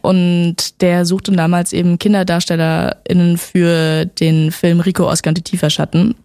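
A young woman in her twenties, an actress guest who tells her story in a relaxed, talkative way and laughs often. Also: lowish voice, no echo, studio quality. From Switzerland, raised in Berlin. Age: 20s. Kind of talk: casual interview chat.

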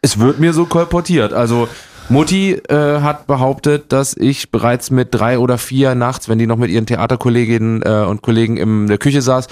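This is a man in his forties who grew up in eastern Germany but speaks standard German. He is a stage performer talking casually and with humor as a guest. His talk is wordy and fast, and he breaks into his own sentences as he goes. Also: mid-range voice, steady tone, darkish tone. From eastern Germany, Thuringia and Saxony. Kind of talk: casual humorous interview chat.

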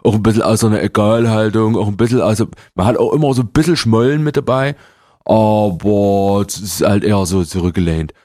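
A man in his thirties from Thuringia, a performance poet, speaking standard German rapidly in a casual interview with many fillers and restarts. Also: on the low side, fairly even intonation, a little mellow.

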